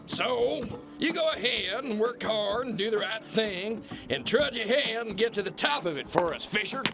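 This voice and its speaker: A man's voice: high voice, animated, normal speed, gravelly, music in the background. A man playing a bombastic, corrupt kingpin boss in an audio drama, blustering, mocking and condescending. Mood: condescending, gloating. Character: bullying, corrupt alpha-male kingpin boss, bombastic and scornful. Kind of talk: theatrical radio-play acting.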